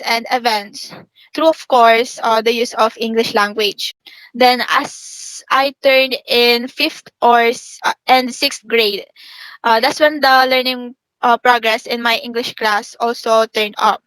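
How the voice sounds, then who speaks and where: A young woman with a native Filipino speaker's accent talks in a fairly high voice. She gives a careful, formal account as a student guest, recorded dry without room echo. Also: a bit bright. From Philippines. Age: young adult.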